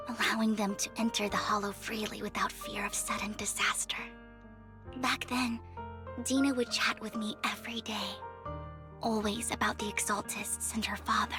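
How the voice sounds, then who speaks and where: Soft troubled girl from a video game woman acting, polite, breathy, kinda high, music playing under. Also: lightly acted. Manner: soft, breathy, hesitant, formal. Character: gentle, troubled young woman, deferential and soft-spoken.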